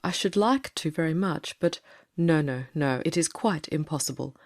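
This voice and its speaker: Troubled Victorian doctor played by a woman: low register, formal, wistful, dry sound, dramatic audiobook. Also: normal speed, darkish tone, dry recording. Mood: courteous, wistful. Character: troubled, reclusive gentleman doctor, courteous and wistful.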